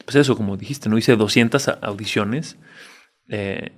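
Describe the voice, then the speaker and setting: A Mexican actor in his forties chatting in an interview, relaxed and frank, with slang and frequent tag questions. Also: on the low side, normal speed. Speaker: actor and guest. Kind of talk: casual interview chat.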